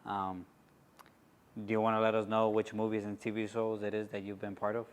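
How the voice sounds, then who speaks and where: A man interviewing a guest, asking measured questions with an occasional "um". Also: fairly low pitch, level tone. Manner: measured, with "um" between phrases.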